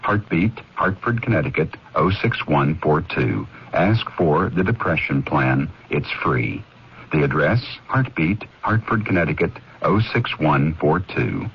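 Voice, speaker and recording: A man's voice: a bass voice, expressive, unhurried, husky. A man hosting an inspirational radio spot, giving scripted advice straight to the listener. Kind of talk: scripted direct-address advice. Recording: muffled old radio broadcast.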